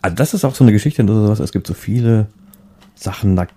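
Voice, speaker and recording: Man, low husky voice, very mellow and dark, casual rambling chat, halting word searches. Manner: halting, repeats words while searching.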